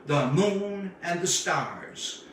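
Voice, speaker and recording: A man reading his own literary short story aloud in a dramatic, deliberate storytelling manner with measured phrasing. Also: high-pitched, expressive, a bit bright. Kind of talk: literary story reading, first-person narration. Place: indoor room, live stream. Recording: echoey room, distant mic, faint noise.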